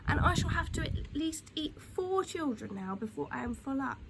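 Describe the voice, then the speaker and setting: A greedy storybook crocodile, acted out by a woman schemes slyly to himself. The delivery swings widely in pitch and mutters like a plotter. The recording has clear background noise. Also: animated, moderate pace. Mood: hungry, grumbling.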